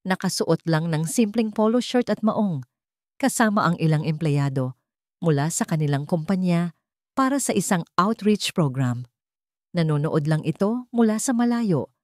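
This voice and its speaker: Low-voiced Filipino woman narrating, close and dry. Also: a little mellow, no echo. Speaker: narrator of audio love stories. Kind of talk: scripted dramatic story narration in Tagalog.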